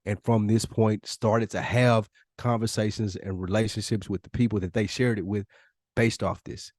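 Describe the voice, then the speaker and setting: A man's voice: fairly low pitch, steady tone, normal speed, darkish tone, no room sound, clean studio sound. An American man co-hosting a casual podcast, talking earnestly and repeating phrases for emphasis. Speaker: podcast co-host.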